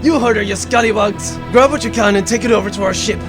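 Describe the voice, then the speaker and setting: A man playing a brisk, blustering pirate henchman in a comedic audio drama. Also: sits high, natural voice. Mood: hearty, barking. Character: loud, hearty pirate henchman serving a lady captain. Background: music.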